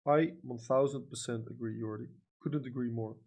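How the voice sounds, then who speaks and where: A man's voice: mid-range voice, steady tone, normal speed, vocal fry, darkish tone. A young man with a Dutch accent chatting casually on a livestream, answering comments with lots of "you know" and "like", blunt and sometimes profane. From Netherlands. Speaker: livestream trader.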